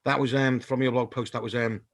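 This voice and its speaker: A man's voice: mid-range voice, flat delivery. Hesitant male panel moderator. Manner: halting, many ums and restarts. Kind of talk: panel discussion, hesitant and thoughtful.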